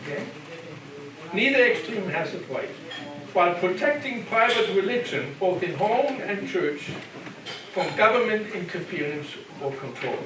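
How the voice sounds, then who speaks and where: A man's voice: sits high, animated, unhurried, crowd noise. An older man from Germany with a German accent, giving a church lecture in a conversational way, often repeating phrases and asking the room "okay?". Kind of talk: church adult education lecture. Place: church hall. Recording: very echoey hall, distant mic, background noise.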